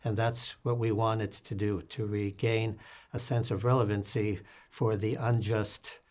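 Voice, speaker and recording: An older man speaking as an interview guest, recounting his experience in a halting, measured way with formal wording. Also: on the low side, little pitch movement, a little mellow. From United States, born in Sweden to Latvian refugees. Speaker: interview guest, political activist and lecturer. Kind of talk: reflective conversational account in a public discussion.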